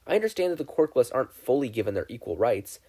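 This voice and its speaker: A man's voice: medium pitch, very animated, fast, warm tone, slightly rough. A man voicing a prim, self-assured teenage schoolgirl who reasons smugly in a story reading. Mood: smug, questioning. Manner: reasoning, smug, questioning.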